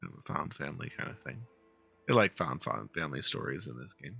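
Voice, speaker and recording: A man making casual, low-key, mumbled asides as he plays through a game. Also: very animated, fast talker, gravelly, bright, forceful tone, breathy edge. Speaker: game streamer reading dialogue aloud.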